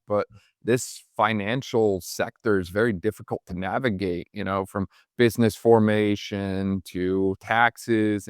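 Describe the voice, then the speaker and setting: A man with a low, smooth voice hosts a podcast. He talks in an informal, enthusiastic and wordy way, and the sound is dry and close. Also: no room sound. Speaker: podcast host. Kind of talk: casual conversational interview.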